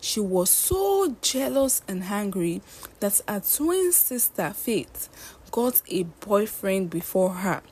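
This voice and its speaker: A woman's voice: medium pitch, a little mellow, breathy edge. A woman narrating a scripted folktale as a storyteller.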